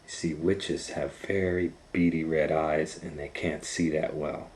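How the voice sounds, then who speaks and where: A man reading a children's storybook aloud as host, with expressive, drawn-out storytelling. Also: rumbling low, slight background noise. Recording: roomy, echoing indoor sound.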